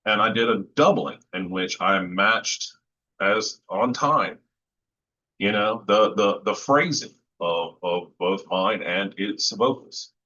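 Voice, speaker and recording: A man with a low voice talking casually about recording vocals, thoughtful and rambling with lots of fillers and restarts. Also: takes it slowly, sharp tone, lo-fi sound. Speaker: musician discussing vocal recording. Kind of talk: casual podcast chat.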